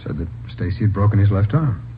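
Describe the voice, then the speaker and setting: An American actor playing an anxious, respectful young policeman in 1950s radio drama style, halting and pleading. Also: fairly low pitch, lively intonation, close to an everyday voice. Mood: earnest, explaining. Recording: old radio, narrow band, noisy.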